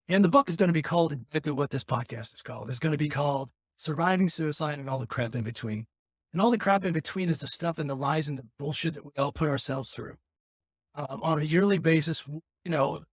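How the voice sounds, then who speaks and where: A man with a raspy voice hosting a podcast, talking candidly and earnestly about his own life, rambling with frequent restarts. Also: a little high, no echo, clean studio sound. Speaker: podcast host. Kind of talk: candid personal talk.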